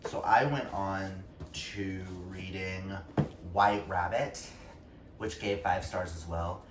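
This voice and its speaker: A man chatting casually about books as a vlogger, gushing and emphatic with dramatic pauses. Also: low voice, fairly even intonation. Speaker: book reviewer vlogger, reading challenge co-host. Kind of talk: casual book vlog chat. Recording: roomy, distant mic, faint noise.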